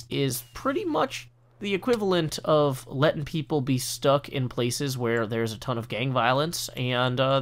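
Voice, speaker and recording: An earnest man from the United States talks over his gameplay in a relaxed, meandering way. He backtracks often, piles on fillers and restarts his sentences. His voice sits at an ordinary middle pitch, recorded close on a desk mic. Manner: earnest, rambling with many fillers and self-corrections. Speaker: let's play host. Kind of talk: casual gameplay commentary.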